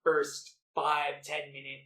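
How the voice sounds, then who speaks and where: A man's voice: on the high side, completely flat delivery, slow pace, sharp tone. A man co-hosting a movie podcast, chatting casually and enthusiastically in a rambling, sweary way. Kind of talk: casual chat about favorite films. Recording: roomy, echoey home podcast recording.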